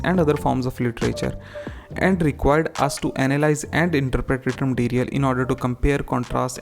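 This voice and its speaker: A man with an Indian accent presenting as an exam tutor, explaining and reading out model answers, sometimes switching between English and Hindi. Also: mid-range voice. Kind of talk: instructional explanation with model answers read aloud.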